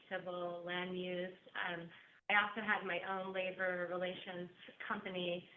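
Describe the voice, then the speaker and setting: Loud, self-assured woman in an echoey chamber. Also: medium pitch, a little hiss or hum. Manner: self-assured and chatty, lists experience. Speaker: council seat applicant. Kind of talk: self-introduction at a public meeting. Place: city council chamber. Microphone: handheld microphone in a meeting room. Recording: echoey chamber over a tinny line.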